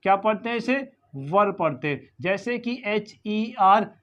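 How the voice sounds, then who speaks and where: Slow, high-voiced Indian male teacher. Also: animated, fairly bright tone, dull audio. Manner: slow, repetitive, spells words letter by letter and quizzes the viewer. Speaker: English pronunciation teacher. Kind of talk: slow spoken lesson mixing Hindi and English.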